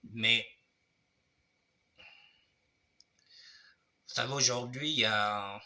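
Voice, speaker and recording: A man's voice: mid-range voice, steady tone, very slow, pushed and bright. An older man hosting his show, speaking to his audience in a formal, repetitive way while he has a cold. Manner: addresses audience formally, repeats himself. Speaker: online show host. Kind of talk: monologue commentary.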